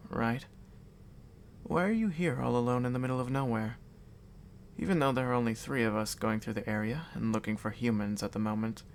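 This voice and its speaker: A man's voice: mid-range voice, creaky, close to an everyday voice. Man playing a composed vampire noble, noisy background. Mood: curious, conversational. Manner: measured, composed, condescendingly reassuring. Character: calm, courteous, predatory male vampire noble. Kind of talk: ASMR audio roleplay addressed to the listener. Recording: audible background noise and ambience.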